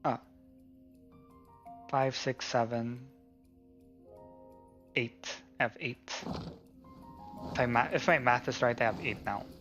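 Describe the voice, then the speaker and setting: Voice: medium pitch, fairly even intonation, slow pace, pauses often, a bit bright, muffled recording. A game streamer giving casual live commentary, muttering and thinking aloud. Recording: game music behind voice. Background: video game music.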